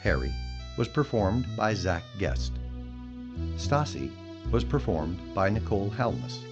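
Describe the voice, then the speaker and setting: A man narrates scripted credits as an announcer. His delivery is formal and unhurried. Music plays clearly behind him and the recording is a little muffled. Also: lowish voice, big pitch swings. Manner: measured, formal list reading.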